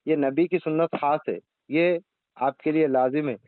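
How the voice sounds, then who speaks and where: A man's voice: on the high side, fairly even intonation, moderate pace, no echo. A man in a heated religious debate on a phone call, argumentative and insistent, often cutting in. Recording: thin phone call recording.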